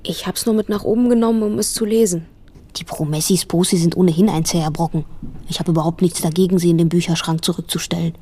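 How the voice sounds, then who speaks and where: A woman's voice: lowish voice, steady tone, fast talker, mellow, slight background noise, natural voice. An actress playing a bookish, inquisitive girl, narrating and speaking in a radio drama. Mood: curious. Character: bookish, inquisitive girl recalling events as they happen.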